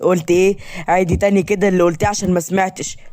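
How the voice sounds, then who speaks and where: A woman's voice: fairly low pitch, steady tone, rapid-fire, a little mellow, natural voice. A woman acting a menacing, smug Egyptian young male kidnapper. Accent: Egyptian Arabic. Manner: commanding, threatening, slyly teasing.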